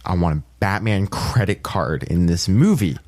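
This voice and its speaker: A man's voice: low voice, lively intonation, normal speed, darkish tone. A man co-hosting a casual podcast, firmly arguing his opinions in conversational language. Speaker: podcast co-host.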